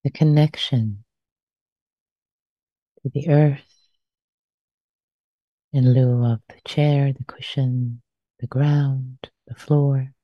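A woman meditation teacher leading a guided meditation in a soft, breathy voice, gently and unhurriedly, with long pauses, on a dull lo-fi recording. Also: a bass voice, fairly even intonation, no echo.

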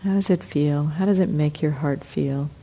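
A woman teacher leading a guided meditation, speaking softly and calmly with long pauses. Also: sits low. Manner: slow, soft, calm, with long pauses.

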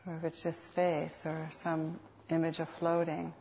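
A woman teaching guided meditation in an unhurried, soothing manner with reflective pauses, on a dull lo-fi recording. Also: low-pitched, monotone, creaky, a little mellow, slight background noise. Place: meditation center. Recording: dull, lo-fi live talk recording.

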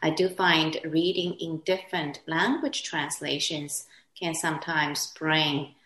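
Class moderator: low-pitched woman, first language Chinese, slow, gentle and hesitant, roomy echo on a video call. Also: little pitch movement. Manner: gentle, hesitant, with soft laughs. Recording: echoey room on a video call.

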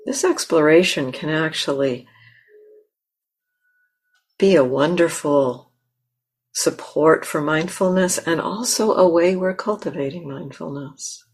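A woman meditation teacher leading a guided meditation in a gentle, unhurried manner, often pausing mid-phrase. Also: sits low, slightly rough. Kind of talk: guided meditation, slow with long pauses. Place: meditation center.

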